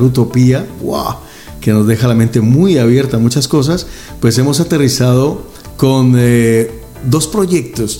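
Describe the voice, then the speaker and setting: A man's voice: medium pitch, dark tone. A man hosting a radio interview, enthusiastic and given to exclamations, with hesitant fillers between phrases. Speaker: radio host.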